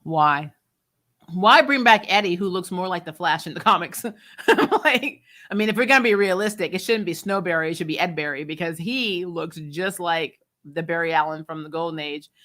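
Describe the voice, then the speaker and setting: A woman hosting a casual TV review chat, talking in an animated, sarcastic, exasperated way with blunt opinions and casual swearing. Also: lowish voice, moderate pace. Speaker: host of a TV show review channel. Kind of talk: casual spoiler recap chat, reading and answering viewer comments.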